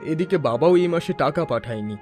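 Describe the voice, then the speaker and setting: A man's voice: on the high side, animated, quick pace, darkish tone, faint music behind, lightly acted. A man voicing an earnest, talkative young village man in a cartoon drama. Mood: worried. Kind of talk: cartoon voice acting.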